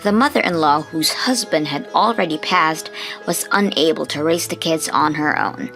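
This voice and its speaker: A woman's voice: low voice, normal speed, a bit bright, faint music behind. A woman reading scripted story narration as the narrator of a comic dub.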